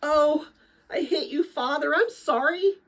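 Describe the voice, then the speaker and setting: A woman's voice: high voice, a little slow, a bit bright, pitched above the performer's own voice. A woman voicing an earnest, hot-tempered young boy in a children's storybook. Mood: startled, apologetic.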